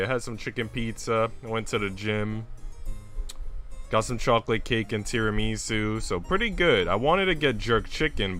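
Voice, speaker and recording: Low-voiced young man streaming casually. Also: fairly bright tone. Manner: informal, slangy, conversational. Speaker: live streamer. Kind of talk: casual livestream chat with viewers. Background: music playing.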